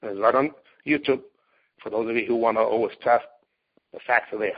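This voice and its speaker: A man's voice: mid-range voice, brisk, slightly rough. A man in his late forties, a martial arts competitor and teacher, speaking bluntly and emphatically in an interview as he tells his story. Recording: dull lo-fi recording.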